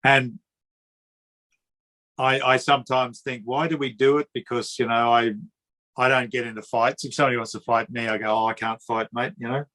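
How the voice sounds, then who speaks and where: A man with a drawling Queensland Australian accent talks in an easygoing, matey way and keeps the chat loose. His voice sits at an ordinary middle pitch. He laughs easily and often stumbles over or repeats his words. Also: level tone, normal speed, sharp tone, rough recording. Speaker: interviewer. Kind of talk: casual conversational interview.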